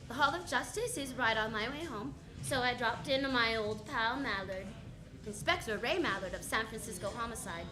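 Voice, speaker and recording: High-voiced wisecracking lady detective acted by a woman. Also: close to an everyday voice. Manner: sardonic, snappy, quipping hard-boiled delivery. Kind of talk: old-time radio detective acting.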